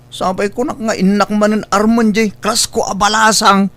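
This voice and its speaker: A man's voice: high voice, little pitch movement, moderate pace, fairly bright tone. A man with an Ilocano accent acting a stubborn, hard-drinking young suitor who whines and pleads in a comedy audio drama. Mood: impatient whining.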